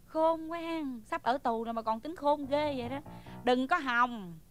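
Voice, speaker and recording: An actress playing a loud, quarrelsome woman in a comic sketch, scolding and exclaiming in a Southern Vietnamese accent. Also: high-pitched, moderate pace, natural voice. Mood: scornful. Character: loud, bossy, quarrelsome woman threatening to chop a cheat. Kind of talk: comic stage sketch acting. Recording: music behind the voice.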